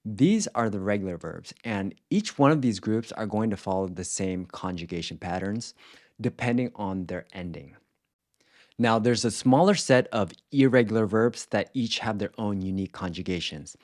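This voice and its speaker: A man with a fairly low voice narrates a scripted language lesson. He explains points in a clear, instructional way and pronounces example words carefully, like a teacher presenting a video series. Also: expressive, normal speed, a little mellow.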